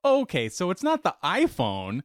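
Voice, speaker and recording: Lively joking man reading the news. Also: high voice, normal speed, no room sound, studio quality. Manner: joking, reads news items aloud with playful teasing. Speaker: podcast co-host reading news. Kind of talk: casual podcast chat.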